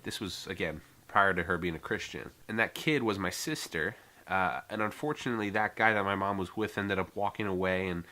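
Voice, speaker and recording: A young American man with a low voice talks straight to his viewers in an earnest, heartfelt way, rambling a little as he goes. His tone is bright and forceful despite the low pitch. It sounds like a casual personal vlog. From United States. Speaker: vlogger.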